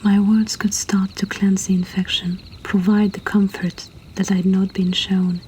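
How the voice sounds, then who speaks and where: A woman reading poetry and stories in a breathy whisper, in a soothing, hushed ASMR style. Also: medium pitch, level tone, slight background noise. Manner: slow, soothing, hushed delivery. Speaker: poetry reader and host.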